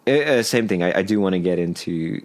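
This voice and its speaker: A man whose first language is Spanish, a podcast guest chatting casually and telling stories in animated, slangy talk full of filler "like". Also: sits low, fairly quick. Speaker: podcast guest, singer and songwriter. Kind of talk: casual chat.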